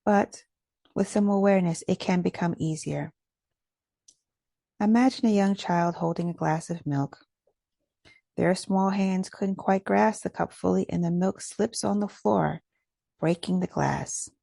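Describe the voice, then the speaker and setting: Low, mellow woman guiding a meditation. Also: gravelly, dry recording, clean studio sound. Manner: gentle, measured, instructional delivery. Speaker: meditation guide. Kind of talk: guided meditation.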